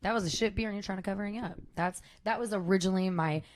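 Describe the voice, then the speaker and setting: A woman's voice: medium pitch, very fast. A young woman in casual podcast chat, joking and laughing easily as she explains beer brewing.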